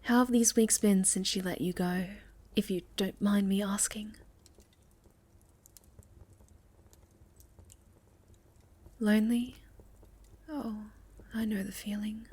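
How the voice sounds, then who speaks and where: A woman playing a sweet, shy young moth girl in an ASMR roleplay, speaking gently and hesitantly, often dropping to a breathy near-whisper. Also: mid-range voice, fairly even intonation, moderate pace, lightly acted. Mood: lonely, gentle. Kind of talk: ASMR roleplay, soft intimate storytelling to the listener.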